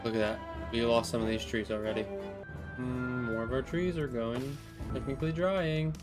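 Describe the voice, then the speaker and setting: A man's voice: mid-range voice, fairly even intonation, normal speed. A man hosting a let's play, talking himself through each move in the game and speaking to his viewers in a friendly, direct way. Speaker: gaming channel host. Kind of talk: let's play gameplay commentary. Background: background music.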